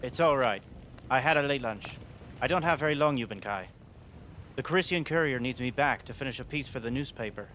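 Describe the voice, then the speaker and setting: A man acting as an eager male writer in an audio drama, polite and reassuring. His voice sits at a medium pitch, with noticeable noise and ambience around it. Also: lively intonation, a little fast, fairly bright tone, lightly acted. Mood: friendly, apologetic.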